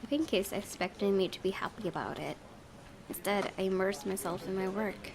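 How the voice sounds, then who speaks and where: Creaky-voiced woman narrating a game. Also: a little fast. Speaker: gaming video host, reading a visual novel aloud. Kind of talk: let's play narration with casual asides. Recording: faint low rumbling background noise.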